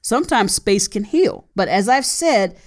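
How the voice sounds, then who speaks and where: A woman's voice: medium pitch, expressive, unhurried. Earnest woman in her thirties giving advice. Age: 30s. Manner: earnest, repeats key points for emphasis. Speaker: podcast host. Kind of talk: advice podcast monologue.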